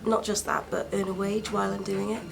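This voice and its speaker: Very creaky-voiced young woman. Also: medium pitch, little pitch movement, fairly quick. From Wales. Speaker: TV apprentice. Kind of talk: interview answers.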